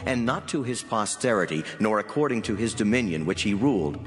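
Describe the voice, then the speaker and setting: A man as a low-voiced heavenly messenger, vast reverb. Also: expressive, lightly acted. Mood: grave, prophetic. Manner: solemn, measured, declamatory. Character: solemn heavenly male messenger delivering prophecy. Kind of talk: dramatized scripture reading. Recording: long hall reverb under clear music. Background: music.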